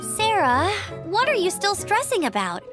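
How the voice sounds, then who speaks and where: A perky young scout girl, very high and bouncy in pitch. She sounds eager and self-assured. Game music sits behind her. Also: huge pitch swings, unhurried, heavily acted. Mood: upbeat. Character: young woman scout, upbeat and confident.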